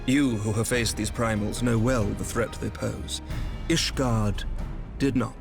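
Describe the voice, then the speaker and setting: Gravelly, courtly knight commander. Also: male voice, lowish voice, fairly even intonation, music in the background. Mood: measured, diplomatic. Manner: formal, measured, archaic diction. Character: composed, courtly male knight commander, diplomatic and shrewd. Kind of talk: scripted fantasy game dialogue.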